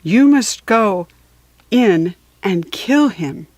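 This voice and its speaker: A woman voicing a beautiful young storybook fairy who gives stern, solemn commands in a measured way. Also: medium pitch, lively intonation, a little mellow, no room sound.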